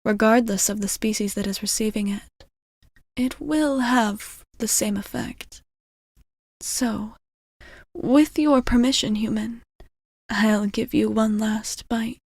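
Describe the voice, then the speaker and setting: A woman playing a gentle, caring vampire bartender in an intimate ASMR roleplay, soft-spoken and reassuring, often slipping into whispers. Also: on the high side, takes it slowly, slightly rough, no room sound, studio quality, exaggerated voice. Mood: calm, explaining, then fond, teasing. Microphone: close ASMR microphone.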